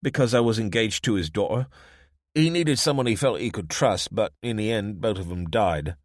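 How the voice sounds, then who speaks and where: A man's voice: fairly low pitch, normal speed, dry recording, clean studio sound, voice pushed up, natural voice. A man voicing a wry, understated man with a London accent who reluctantly leads the town's police. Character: wry middle-aged man, reluctant town police chief.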